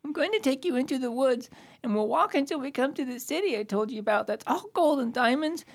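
A woman's voice: sits high, lively intonation, fairly quick. A woman playing a small, imaginative boy in a radio play, talking in childlike make-believe. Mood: hushed, determined. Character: small boy, imaginative, devoted to his dog.

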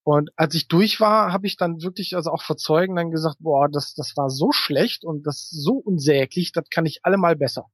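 A man's voice: on the high side, moderate pace, few pauses, dry recording, clean studio sound. A middle-aged man speaking as an interview guest, telling anecdotes in a conversational style.

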